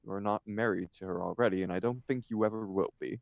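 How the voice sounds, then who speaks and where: A man's voice: on the low side, steady tone, fast talker, vocal fry, no echo, highs cut off. A man reading the part of a flippant, idle upper-class bachelor in a stage comedy, with an arch, mock-serious declamatory manner. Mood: teasing, indignant.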